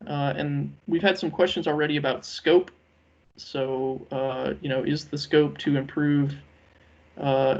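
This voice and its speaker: A man giving a business presentation on a conference call as a working group lead, speaking in a measured, halting way with many ums. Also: on the high side, gravelly. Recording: dull, lo-fi conference call audio.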